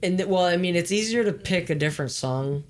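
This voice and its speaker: A man's voice: sits high, expressive, brisk. A man who leads worship, chatting casually on a podcast and explaining things loosely with restarts. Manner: rambling, restarts mid-thought, explains loosely. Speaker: worship leader and podcast co-host.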